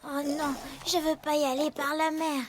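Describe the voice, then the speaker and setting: Woman as a very high, timid baby animal. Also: level tone, moderate pace, a little hiss or hum, natural voice. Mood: hesitant. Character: small, timid lost baby animal and cub friends. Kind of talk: cartoon dubbing.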